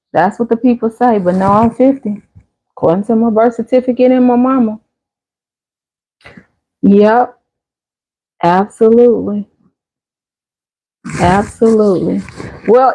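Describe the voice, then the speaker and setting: A woman's voice: medium pitch, poor audio. A woman, casually chatting on her channel's live stream and answering viewers' comments. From Chicago area. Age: 50s. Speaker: channel host.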